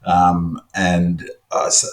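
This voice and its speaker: An Australian man with a croaky, hoarse voice, chatting casually as a podcast's stats analyst and rambling through many ums and restarts. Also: sits low, completely flat delivery, extremely slow, echoey room.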